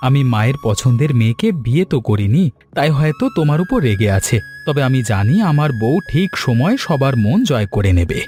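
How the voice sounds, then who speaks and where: A lively, mid-pitched cartoon husband, played by a man, young and tender. He coaxes and reassures with swinging intonation, over soft music. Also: brisk, close to an everyday voice. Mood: soothing. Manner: coaxing, soothing. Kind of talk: Bengali cartoon dialogue acting. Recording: background music under voice.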